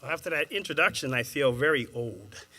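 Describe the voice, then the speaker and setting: A man with a Boston accent moderating a panel, chatting with a live audience in an animated way and laughing at his own jokes. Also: medium pitch, fairly quick. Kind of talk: engaging address to a live audience.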